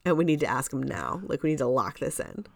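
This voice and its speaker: A woman with a notably low voice for her sex, captured close and dry. She chats casually as a podcast co-host, in an informal conversational style. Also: lively intonation, fast, vocal fry, dry recording. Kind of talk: casual chat about musical theatre.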